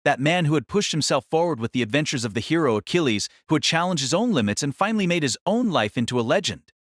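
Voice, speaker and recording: A man's voice: on the high side, very animated, quick pace, fairly bright tone, no echo, clean studio sound. A man narrating a scripted audio drama as a storyteller.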